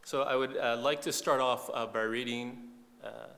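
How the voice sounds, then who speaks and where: A man's voice: mid-range voice, steady tone, measured pace, creaky, a bit bright. Man preaching in a long-reverb hall. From eastern Saudi Arabia. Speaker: visiting preacher. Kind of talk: sermon testimony in short phrases for an interpreter.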